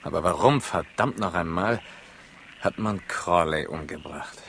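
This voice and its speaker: An actor playing an erudite, ironic investigator in a 1960s radio crime play, with a dry, deliberate delivery. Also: male voice, deep voice, gravelly, fairly bright tone, exaggerated voice. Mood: exasperated, ironic. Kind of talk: 1960s German-language radio crime drama acting. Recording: faintly noisy old radio recording.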